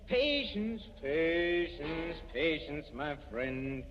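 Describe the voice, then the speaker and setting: Gloating villainous professor, swooping voice. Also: male voice, fairly high pitch, big pitch swings, soft background music, exaggerated voice. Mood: gleeful, gloating. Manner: chuckling, falsely genial, drawn-out menace. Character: gloating, scheming murderous male professor. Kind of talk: 1940s radio suspense acting. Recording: muffled, noisy, reverberant vintage radio recording.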